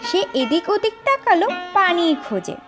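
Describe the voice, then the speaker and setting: A very high, lively voice reads a children's story slowly and in a sing-song way. Bright children's music with banjo plays clearly underneath. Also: female voice.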